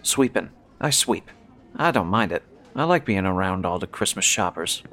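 A man's voice: sits low, expressive, moderate pace, fairly bright tone, slight background noise. A man playing a mild, humble store sweeper in a radio play, speaking plainly and simply.

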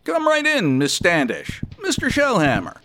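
Actor as a high-voiced, animated store boss. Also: male voice, normal speed, a little hiss or hum, heavily acted. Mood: brisk, formal. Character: brisk, pompous male department store boss. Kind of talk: comedic radio play acting.

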